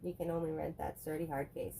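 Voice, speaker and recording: A woman's voice: low-pitched, little pitch movement, creaky, warm tone, slightly rough. A woman with a head cold co-hosting a casual chat about movies, rambling and joking as she talks. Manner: rambling, chatty, quick to joke. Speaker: co-host.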